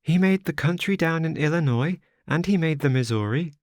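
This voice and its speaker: A man's voice: lively intonation, normal speed, dry recording, studio quality, close to an everyday voice. A man putting on a chirpy little pioneer girl. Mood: innocent. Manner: high, bright childish voice.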